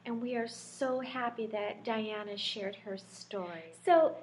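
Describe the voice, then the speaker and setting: A woman's voice: on the high side, slow pace, slight background noise, low-quality recording. A woman hosting a casual podcast, upbeat and welcoming as she introduces a guest. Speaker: podcast host.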